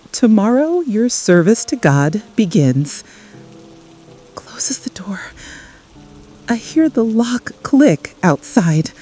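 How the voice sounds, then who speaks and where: A woman playing an exhausted, frightened mother in an apocalypse radio drama, confessing in short, halting phrases. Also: medium pitch, husky, heavily acted. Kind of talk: scripted radio drama monologue. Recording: steady rain noise behind voice. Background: rain ambience.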